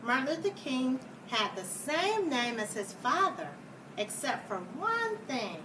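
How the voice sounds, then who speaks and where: A woman reading a children's picture book aloud like a schoolteacher, deliberately, with explanatory asides for young listeners. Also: sits high, sing-song. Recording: distant mic, clear background noise.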